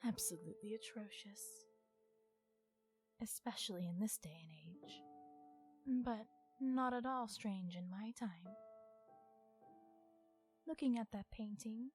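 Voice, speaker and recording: A woman voicing a centuries-old vampire in an ASMR roleplay, stern, irritated and possessive, with a measured, formal and often hushed delivery. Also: mid-range voice, soft background music, close to an everyday voice. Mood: reflective, disdainful.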